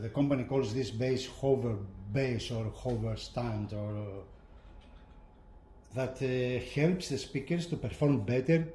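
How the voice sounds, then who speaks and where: A man presents a product in an unhurried, casual way. His voice is soft-edged and mellow, and his delivery is halting, often repeating a phrase as he goes. There is light background noise behind him. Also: mid-range voice. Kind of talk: casual unscripted product walkthrough.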